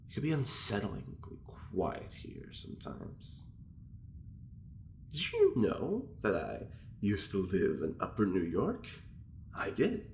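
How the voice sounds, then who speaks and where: Haughty gossiping male prisoner: unhurried, low and creaky, theatrical, in an echoing room. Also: heavily acted. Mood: uneasy, bored. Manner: affected, leisurely, theatrical, fussy. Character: prim, self-important male prisoner, scheming gossip feigning innocence. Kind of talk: audio drama diary monologue. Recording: roomy echo with faint background noise.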